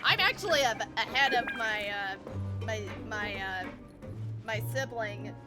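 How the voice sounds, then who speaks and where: A woman's voice: fairly high pitch, unhurried. A woman live-streaming a game, chatting casually in a rambling, conversational way. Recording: loud game music behind. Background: video game music.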